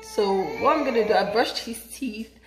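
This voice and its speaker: A woman's voice: a little high, moderate pace, music in the background. A young woman from Nigeria with a Nigerian English accent touched by British English, chatting animatedly to the camera in a vlog at home. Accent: Nigerian English with British influence. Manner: animated, chatty, gestures with hands. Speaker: vlogger. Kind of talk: casual vlog talk to camera. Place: home kitchen, indoors. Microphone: camera on a counter, close. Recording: echoey room with faint ambience.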